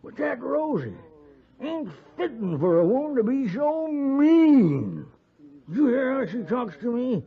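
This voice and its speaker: An actor playing a wheedling, boozy frontier husband with a rambling, stammering American drawl, in 1950s radio Western style. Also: male voice, extremely high pitch, very animated, slow pace, creaky, breathy edge, full character voice. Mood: drunken, aggrieved. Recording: muffled 1950s radio recording.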